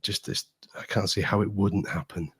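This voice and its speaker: Male fan pundit, lowish voice, casual and opinionated, leans hard on repeated phrases. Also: steady tone, normal speed, fairly bright tone, breathy edge. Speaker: football podcast guest, fan pundit. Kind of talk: casual opinionated football chat.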